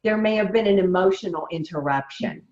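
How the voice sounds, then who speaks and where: A woman, a voice coach giving measured, emphatic advice in a remote interview. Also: medium pitch, a little mellow. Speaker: voice coach guest. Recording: roomy, dull lo-fi sound.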